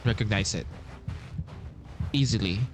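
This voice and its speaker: A man giving casual playthrough commentary, reacting haltingly and muttering to himself. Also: on the low side, noisy background. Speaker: gameplay streamer.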